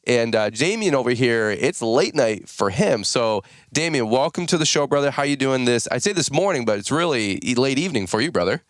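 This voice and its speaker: An American man in his thirties hosting a podcast in casual, rambling chat, his voice a little raspy from just waking up. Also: medium pitch, animated, brisk, few pauses, no echo, clean studio sound. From United States. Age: 30s. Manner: chatty, rambling, folksy. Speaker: podcast host.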